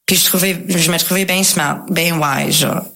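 An English-speaking woman tells her personal story casually, with slangy English words mixed in and pauses to search for words. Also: fairly low pitch, steady tone, creaky, bright, forceful tone. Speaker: guest sharing a recovery testimony.

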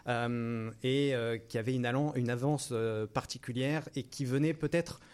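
Man, interview guest, middle pitch, unscripted explanation, very halting, frequent fillers, repeated words. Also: steady tone, a little mellow, clean tone. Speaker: interview guest, operations director in aerospace.

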